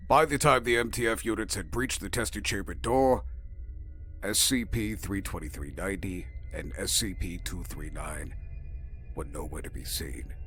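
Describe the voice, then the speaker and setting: A man's voice: on the low side, fairly bright tone. A man reading a formal, clinical log as scripted narration for an audio drama.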